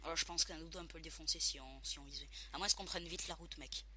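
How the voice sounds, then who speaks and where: Bright-voiced man with a cold. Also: fairly high pitch, fast talker, a little hiss or hum. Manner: chatty, joking, excitable. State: stuffy from a cold. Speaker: gaming video host. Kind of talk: casual gaming commentary with a partner.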